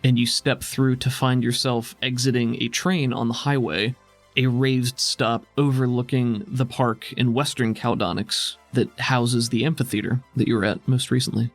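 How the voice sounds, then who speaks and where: Male game master for a tabletop role-playing show, narrating scenes over soft music. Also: medium pitch, steady tone, normal speed.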